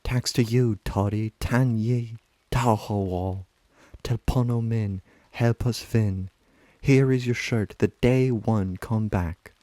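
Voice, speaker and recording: A weary, tender aging river wife, voiced by a man speaks a lyrical, intimate monologue. The voice is fairly low and very slow, with long deliberate phrasing. It is recorded dry, as literary recitation. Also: fairly even intonation, mellow, no room sound. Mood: fond.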